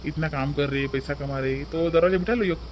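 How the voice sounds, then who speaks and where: Man playing a high-voiced, questioning visiting relative. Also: fairly quick, a little hiss or hum, close to an everyday voice. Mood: reassuring, advising. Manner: questioning, code-switches between Hindi and Marathi. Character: visiting educated brother-in-law, polite, advising, mildly probing. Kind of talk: cartoon family dialogue.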